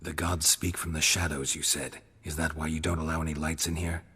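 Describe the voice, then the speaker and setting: An actor playing a grizzled monster hunter who talks in terse, clipped, matter-of-fact sentences. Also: male voice, a bass voice, level tone, a little fast, pushed and bright, husky, heavily acted. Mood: probing. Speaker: game protagonist.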